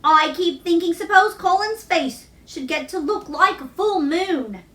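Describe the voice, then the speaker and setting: Woman as an imaginative little girl, high, echoey. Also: expressive, measured pace, a bit bright, heavily acted. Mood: amused worry. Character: imaginative young girl, playfully fretful. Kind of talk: children's storybook read-aloud. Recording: roomy echo, distant microphone.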